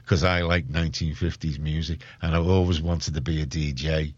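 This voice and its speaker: A man's voice: extremely low pitch, steady tone, normal speed, a little mellow, dry recording. A man talking casually in an interview about his work, opinionated and emphatic, often repeating himself to make a point. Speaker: community radio organiser, interview guest. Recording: muffled, dull, dry close recording.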